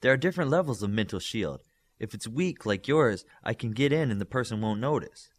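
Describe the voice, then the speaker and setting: A man's voice: mid-range voice, animated, brisk, lightly acted. A man voicing a teasing, knowing young telepath girl in an audiobook story. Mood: matter-of-fact. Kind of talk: audiobook character dialogue.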